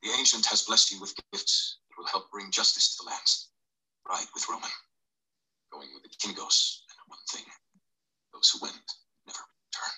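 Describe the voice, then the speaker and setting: Casting: stern fantasy father read by a male narrator, deep, intense, sharp-edged. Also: animated, normal speed, low-quality recording. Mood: solemn, urgent. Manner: solemn, intense. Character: stern, grave father of a gifted boy, fantasy audiobook.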